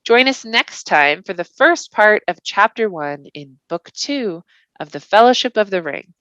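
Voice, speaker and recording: A woman reading a novel aloud as scripted bedtime narration, with deliberate, expressive, dramatic phrasing. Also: mid-range voice, no echo, lo-fi sound. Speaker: volunteer storybook reader.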